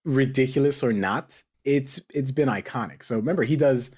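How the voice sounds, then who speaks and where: A man's voice: mid-range voice, moderate pace, darkish tone, husky. A man hosting a casual group chat, teasing and bantering playfully with his co-hosts. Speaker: podcast host.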